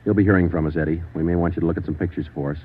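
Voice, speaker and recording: Deadpan detective, low voice, talks fast and clipped, tinny old radio. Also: male voice, slightly rough. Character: terse, stoic police detective sergeant narrating his case. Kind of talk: 1940s radio acting and narration. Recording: tinny 1940s radio recording with music.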